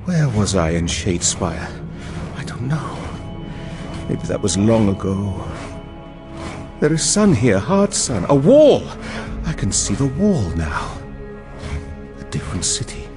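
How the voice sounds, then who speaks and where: Haunted fantasy warrior, confused, urgent, lively pitch, music underneath, audio drama. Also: male voice, slow, long pauses. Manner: urgent, searching, broken phrasing. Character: haunted, confused male warrior, fierce and troubled.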